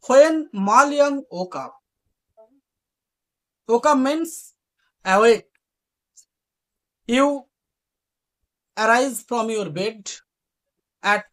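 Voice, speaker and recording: A woman teaches spoken English by retelling a simple story. She speaks very slowly with lively swings in pitch, explaining as she goes and repeating phrases so learners can follow. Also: rough recording.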